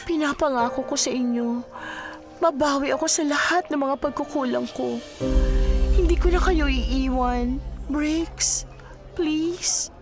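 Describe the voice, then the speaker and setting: A woman's voice: high-pitched, takes it slowly. A woman playing a repentant wife and mother in a radio drama, speaking in an earnest, solemn, formally worded way. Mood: pleading, remorseful. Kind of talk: Filipino radio drama acting. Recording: music bed under the dialogue. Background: music.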